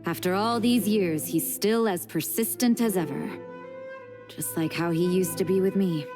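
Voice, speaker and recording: A woman playing a composed, thoughtful video game character, recounting old lore in a measured, explanatory way. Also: on the low side, natural voice. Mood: fond. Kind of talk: video game dialogue, storytelling. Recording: faint music behind the voice. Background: game music.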